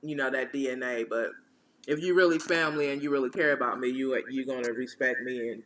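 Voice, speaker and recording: A woman co-hosting a casual chat podcast, laid-back and quick to murmur agreement. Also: extremely low pitch, fairly bright tone, a little hiss or hum. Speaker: podcast co-host.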